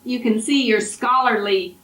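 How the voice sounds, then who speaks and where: A woman interviewing an older woman, soft-spoken and encouraging, often murmuring agreement. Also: fairly high pitch, unhurried. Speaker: oral history interviewer. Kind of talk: interview questions. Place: college library. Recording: echoey library room from a distance.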